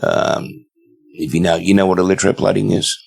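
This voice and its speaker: A man in his seventies with an Australian accent, a guest telling stories in a relaxed, rambling way with many fillers. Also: sits low, normal speed, creaky, husky. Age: 70s. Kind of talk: casual storytelling interview.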